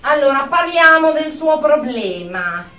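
A woman's voice: high-pitched, very animated, measured pace, pushed and bright, heavily acted. A woman playing a stern, condescending psychiatrist in a radio drama, clinical and emphatic in manner. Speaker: therapist character. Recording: echoey room, distant from mic.

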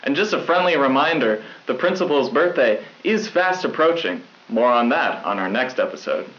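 A young man playing a cheerfully corny high school news anchor in a scripted newscast on dull old tape. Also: expressive, moderate pace, fairly bright tone. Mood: chipper. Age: young adult. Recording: dull old VHS broadcast sound.

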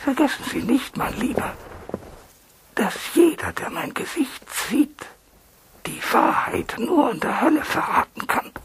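An actor playing a mysterious, menacing male blackmailer in a crime radio play, whispery, deliberate and commanding. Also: very high-pitched, sing-song, gravelly, full character voice. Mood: cold menace. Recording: noisy vehicle ambience.

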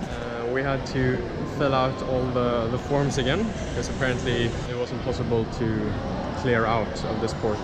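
A man's voice: medium pitch, level tone, moderate pace, very noisy. Swedish man vlogging in a harbor. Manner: loose, rambling, fillers and restarts. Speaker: sailing vlogger host. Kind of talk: casual vlog talk to camera. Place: outdoors in a busy harbor town. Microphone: handheld action camera.

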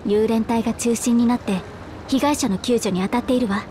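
A woman's voice: on the high side, a little fast, mellow, slight background noise, natural voice. A woman voicing a composed, caring companion in a fantasy video game, with firm, feminine speech. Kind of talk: dramatic video game voice acting.

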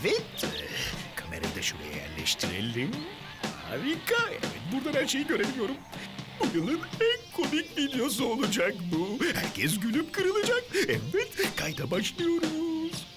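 Theatrical, wildly swooping cartoon shopkeeper. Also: female voice, high-pitched, huge pitch swings, normal speed, loud music behind the voice. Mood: gleeful scheming. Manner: theatrical, mocking, exaggerated delivery. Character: excitable, scornful music-shop keeper who films funny videos. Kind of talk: children's cartoon voice acting.

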